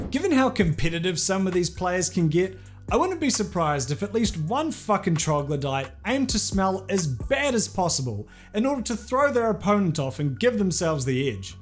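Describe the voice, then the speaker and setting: A young man delivers scripted commentary with sarcastic, crude humour. His voice sits high and swings widely in pitch, lively throughout. Faint background music plays under his studio-recorded voice. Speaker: video essay host.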